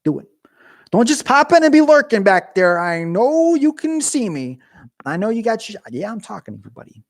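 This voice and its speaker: A man's voice: high-pitched, big pitch swings, moderate pace, no room sound, clean studio sound. A young man chatting casually on a live stream from his garage, rambling and joking with viewers, his voice getting worn. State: losing his voice.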